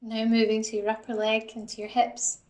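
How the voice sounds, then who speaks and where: A woman guiding a mindfulness body scan in a calm, gentle, instructional manner, like a psychologist leading an exercise. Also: a little high, a little fast, echoey room. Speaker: assistant psychologist guiding a meditation.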